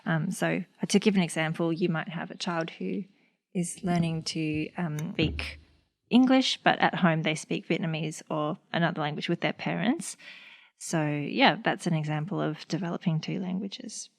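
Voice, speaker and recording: A young Australian woman talks in a relaxed, rambling way on a podcast. Her voice sits low and carries a gravelly fry. She leans on fillers as she thinks aloud. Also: moderate pace. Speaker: speech pathologist. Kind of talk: casual podcast chat.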